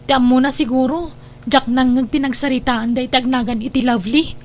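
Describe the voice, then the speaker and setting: A woman's voice: high-pitched, fairly even intonation, fairly quick, darkish tone, a little hiss or hum. A woman playing a scheming, greedy young adopted daughter with an Ilocano accent in a radio drama. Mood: tattling, urgent.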